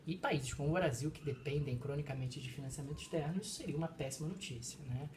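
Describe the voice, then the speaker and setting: A man's voice: fairly high pitch, fast, a little hiss or hum. A man, a professor co-hosting a casual chat, who explains economics in a lecturing way. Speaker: co-host, professor.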